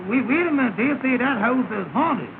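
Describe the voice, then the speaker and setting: A timid, gullible fellow with a high-pitched voice in an early comedy film. He questions everything hesitantly in dialect. The sound is tinny and noisy. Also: expressive, brisk, gravelly, full character voice. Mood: nervous. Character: timid, gullible, hesitant male lodge member. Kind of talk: 1930 film comedy acting.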